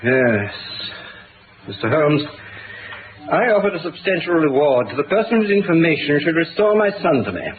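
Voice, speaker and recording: An actor playing a dignified, guarded English duke with a formal, stately British delivery in a radio drama. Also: male voice, a little high, very animated, normal speed. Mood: haughty, guarded. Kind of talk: 1960s radio drama acting. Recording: tinny old radio drama, faint noise.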